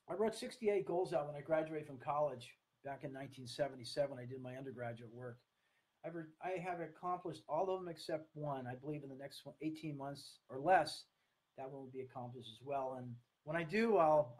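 A man in his sixties with an American accent, giving an earnest online talk to camera in a halting, deliberate way. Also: medium pitch, rough recording. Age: 60s. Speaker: speaker, coach. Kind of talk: online talk to camera, partly read from notes. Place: small room at home, indoors. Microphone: webcam or laptop at desk distance.

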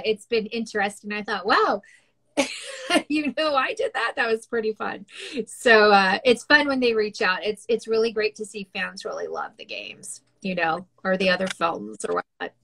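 A woman's voice: medium pitch, a bit bright. A middle-aged woman who casts and does voices, chatting casually in an interview, laughing often between fillers. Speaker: voice casting director and voice actor.

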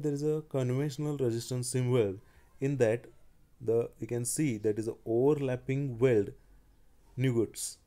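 A man giving a slide-based technical lecture, speaking haltingly with frequent restarts and fillers. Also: mid-range voice, dark tone. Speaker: engineering lecturer.

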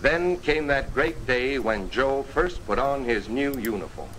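A man reading scripted 1950s training film narration in a formal, earnest, measured storytelling manner. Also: medium pitch, lively intonation, a bit bright. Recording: noisy 1950s film soundtrack.

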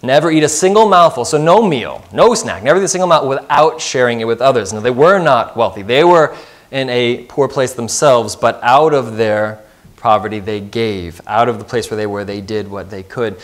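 A man's voice: medium pitch, normal speed. A man in his thirties preaching a sermon as pastor, earnest and putting questions to the congregation, recorded in a church sanctuary.

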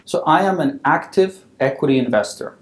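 A man in his thirties, originally from Poland, answering interview questions as a fund founder in a measured, organized way. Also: a little high, lively intonation. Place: office with wooden chairs, indoors. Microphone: lapel mic. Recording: roomy office echo.

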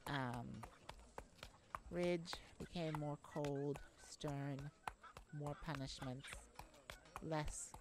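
A brave, worried young princess, acted by a woman, speaks slowly and gently in an ASMR roleplay. Her voice is on the low side, earnest and hesitant, over clearly audible noise. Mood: wistful, uneasy. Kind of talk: ASMR audio roleplay to the listener.

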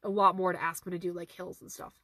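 Hoarse woman in a parked car. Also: fairly low pitch, level tone, fast, sharp tone, no echo, clean studio sound. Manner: earnest, repeats key points for emphasis. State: hoarse, losing her voice. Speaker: horse trainer hosting a live Q&A. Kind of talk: casual live-stream Q&A, reading viewer questions. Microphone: phone camera at arm's length.

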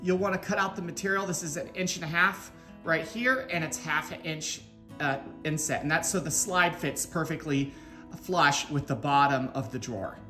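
A man's voice: fairly high pitch, moderate pace, pushed and bright, soft background music. Man teaching in an echoey workshop. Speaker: woodworking tutorial host. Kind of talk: casual step-by-step instruction. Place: indoor woodworking shop. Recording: roomy echoing workshop sound.

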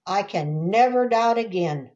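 An older woman giving a devotional reading with commentary, unhurried and emphatic, savoring and repeating words. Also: mid-range voice, animated, a little mellow, highs cut off. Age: older, about 70s. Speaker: devotional reader and Bible teacher. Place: small indoor room, seated.

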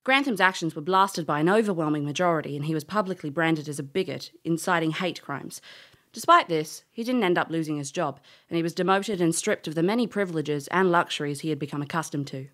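A woman's voice: low voice, a little fast, close to an everyday voice. An actress playing a brash, cheeky, hot-headed elf adventurer who tells her tale in an audio drama, blunt and cocky. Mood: narrating, wry. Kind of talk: audio drama acting and first-person storytelling.

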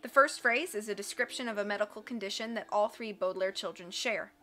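A woman voicing a timid, bookish librarian man who tries to sound calm. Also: medium pitch, fast talker, faint music behind, natural voice. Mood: nervous calm. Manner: quiet, trying to sound calm. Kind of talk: audiobook character voice.

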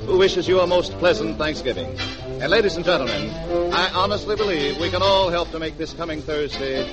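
A man's voice: fairly high pitch, animated, a little fast, rough recording. An American man announcing in 1940s radio style. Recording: old 1940s radio broadcast, music behind. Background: loud music.

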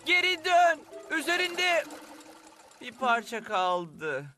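An actor voicing an earnest, lively young boy in a Turkish-dubbed cartoon adventure. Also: high-pitched, slow, soft background music, heavily acted. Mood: earnest, urgent.

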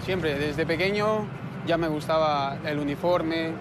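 A man's voice: on the high side, measured pace. A man in his thirties from Ecuador, a soldier answering interview questions. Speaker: soldier, tank driver. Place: outdoors at a military base beside tanks. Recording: loud outdoor vehicle and ambience noise.

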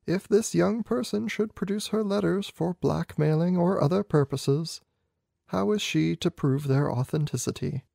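A man voicing a keen, unflappable gentleman detective in a storybook reading, with brisk, inquisitive, clipped questions. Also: a little high, vocal fry, dry recording, studio quality, voice pushed up. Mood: probing. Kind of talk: amateur audiobook character reading.

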